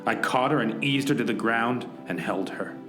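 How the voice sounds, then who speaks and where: A man's voice: medium pitch, moderate pace, natural voice. An actor playing an earnest, melodramatic hero who narrates his own adventure in an overwrought, theatrical way in a parody radio drama. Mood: dramatic narration. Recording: roomy echo, music underneath.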